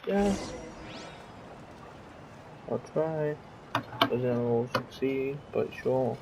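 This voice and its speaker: Creaky-voiced man rambling as he streams. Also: medium pitch, animated, very noisy. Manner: rambling, halting, repeats himself. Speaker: game streamer. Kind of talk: casual gameplay commentary.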